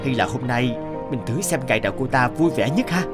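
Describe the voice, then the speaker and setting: A man voicing a frustrated, neglectful middle-aged husband in an animated moral tale, with an expressive, theatrical delivery. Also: mid-range voice, fairly quick, music in the background, lightly acted. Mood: grumbling.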